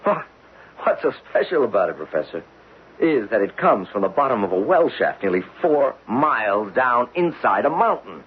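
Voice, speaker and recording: An actor playing a keen, earnest geologist in a 1970s radio drama, with an animated manner. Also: male voice, mid-range voice, moderate pace, close to an everyday voice. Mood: intense. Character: keen, earnest male geologist on a drilling crew. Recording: muffled, noisy old radio broadcast.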